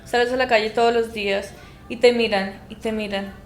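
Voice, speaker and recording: A woman's voice: fairly high pitch, steady tone, a little fast. A young woman, co-hosting a podcast in casual chat and making her point emphatically with repeated phrases. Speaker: podcast co-host. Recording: echoey room with faint ambience.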